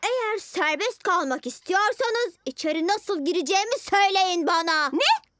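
A woman's voice: extremely high pitch, expressive, normal speed, a bit bright, no room sound, studio quality. A woman voicing a scheming young boy ninja villain in a children's cartoon, boastful and commanding. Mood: menacing. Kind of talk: Turkish-dubbed children's cartoon acting. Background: music.

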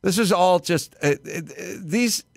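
A man's voice: high voice, moderate pace, no echo, clean studio sound. An American man in his fifties hosting a podcast, rambling comedically and ranting as he acts out quotes. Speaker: podcast host. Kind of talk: comedic rambling talk show banter. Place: podcast studio. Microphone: close studio mic with headphones.

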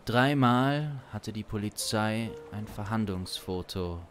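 A man in his thirties improvising a crime story as narrator, deliberate and suspenseful, with long pauses, close on a studio mic. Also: on the low side. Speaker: improvising audio-drama narrator. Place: small studio room. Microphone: close studio condenser mic. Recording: music under the voice. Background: instrumental music.

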